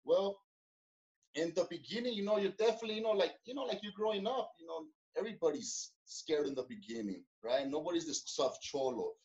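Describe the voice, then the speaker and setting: A man telling his story as an interview guest, in a relaxed way full of street slang, fillers and restarts. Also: on the high side, fairly quick, dull audio. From Los Angeles. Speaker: interview guest, former gang member.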